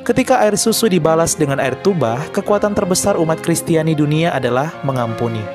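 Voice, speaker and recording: A man with a slightly higher than usual voice delivers a scripted news voice-over in a measured, earnest way. Soft music plays quietly underneath him. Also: lively intonation. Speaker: channel narrator. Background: faint background music.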